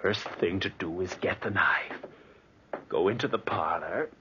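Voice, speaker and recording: A very deep, tense male voice an obsessed would-be killer in a 1940s radio play. He mutters slowly and deliberately, often close to a whisper. The old recording is dull, with a faint hiss. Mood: hushed scheming. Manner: hushed, breathy, deliberate muttering to himself. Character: tense, obsessed man plotting a midnight killing. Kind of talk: 1940s radio drama acting.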